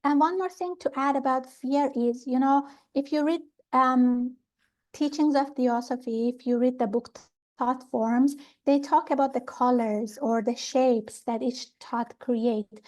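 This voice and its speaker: Woman from Iran, high voice, middle-aged, calm explainer, halting with fillers. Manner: calm, thoughtful, with many fillers and restarts. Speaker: pranic healing teacher. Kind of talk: interview answers, explanatory.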